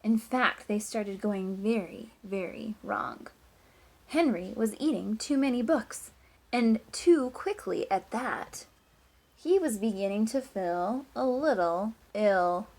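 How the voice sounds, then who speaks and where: A woman teacher reading a picture book aloud to children, with expressive emphasis, drawn-out words and hushed asides. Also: mid-range voice, rough recording.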